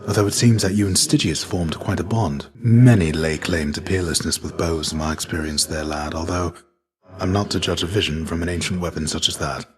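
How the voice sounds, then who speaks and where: Guy doing deep voiced old-world greek warrior shade, fatherly game mentor, gentle n weary, kinda formal and measured. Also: steady tone, close to an everyday voice. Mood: reflective. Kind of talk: video game character dialogue.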